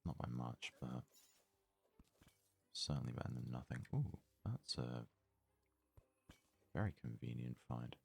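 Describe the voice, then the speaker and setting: A man giving casual ASMR let's play commentary in a very soft, hushed, breathy voice, murmuring his thoughts aloud without hurry. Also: rumbling low, lively intonation. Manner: unhurried, murmured thinking aloud. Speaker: gaming video host.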